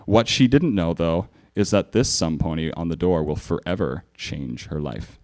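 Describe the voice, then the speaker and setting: A man's voice: deep voice, moderate pace, darkish tone. A man giving a theatrical, sardonic live comic reading of fan fiction, with mocking asides. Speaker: fan fiction reader at a convention.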